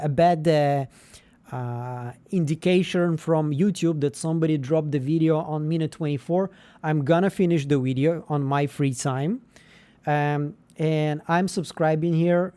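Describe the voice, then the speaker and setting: A man's voice: fairly high pitch, dark tone. A chatty man, hosting a livestream. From Israel. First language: Russian. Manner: rambling, with frequent fillers and restarts. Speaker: livestream host. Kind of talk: casual livestream chat.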